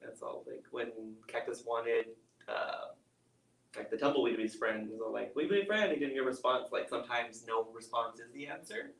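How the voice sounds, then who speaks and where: A man's voice: mid-range voice, fairly even intonation, moderate pace, vocal fry, a bit bright. A man chatting casually as a co-host, responding to a story with his own reflections. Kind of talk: casual chat. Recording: echoey room, distant mic.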